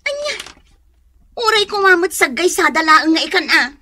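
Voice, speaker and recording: A woman plays a hardworking, long-suffering wife in an Ilocano radio drama. Her voice is high and bright, with an Ilocano accent and a scolding edge. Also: big pitch swings, moderate pace, exaggerated voice.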